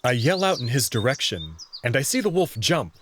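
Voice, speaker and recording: A man's voice: mid-range voice, big pitch swings, moderate pace, fairly bright tone, slight background noise. A man playing a bewildered young human who narrates his own story in a visual novel and speaks hesitantly. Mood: narrating, bewildered. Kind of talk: first-person visual novel narration and dialogue.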